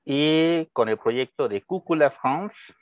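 A man's voice: on the high side, expressive, slow pace, no room sound. A young Mexican man with a Mexican Spanish accent, a guest teacher answering casually and friendly, with hesitations and restarts. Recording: dull lo-fi dry sound.

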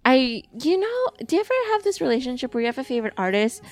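A woman's voice: high voice, sing-song, fast, dry recording. A woman chatting casually on a podcast, rambling with many fillers and restarts. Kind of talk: casual chat.

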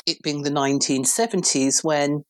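A woman in her fifties from England with a very deep voice, a PR director talking thoughtfully as a podcast guest. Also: normal speed. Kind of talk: relaxed conversational interview.

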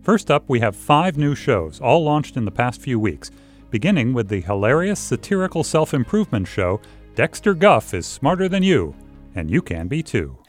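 A man's voice: medium pitch, sing-song, normal speed. Upbeat man announcing a podcast trailer. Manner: upbeat, smooth announcer delivery. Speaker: network host presenting a trailer. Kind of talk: scripted promo narration. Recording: soft music underneath.

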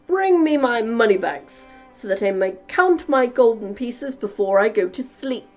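Booming slow menacing giant, a woman reading puts him on, lower than her own voice, faint music under it. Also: lively intonation. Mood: commanding. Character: huge roaring man-eating male giant.

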